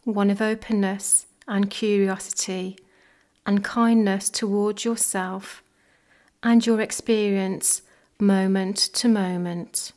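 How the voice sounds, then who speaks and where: Slow-paced woman guiding meditation. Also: mid-range voice, steady tone. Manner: gentle, measured, unhurried phrasing with pauses. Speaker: meditation guide. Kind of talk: guided body scan meditation.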